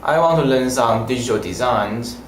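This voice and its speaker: A young man whose first language is Mandarin, answering interview questions in halting, simple phrasing. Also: mid-range voice, a little hiss or hum. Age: young adult. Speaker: language student. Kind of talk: casual interview. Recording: roomy echo.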